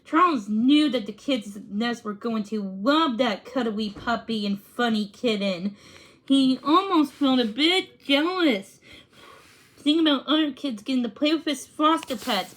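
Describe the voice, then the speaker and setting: Storybook reader: female, high voice, unhurried and halting, with self-corrected stumbles. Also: a bit bright. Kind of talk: read-aloud storybook narration.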